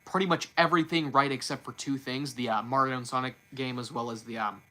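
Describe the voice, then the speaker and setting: A man's voice: mid-range voice, fairly even intonation, brisk, bright, forceful tone. A man hosting a casual livestream and talking off the cuff. He stumbles, restarts his sentences and repeats words often. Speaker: livestream host.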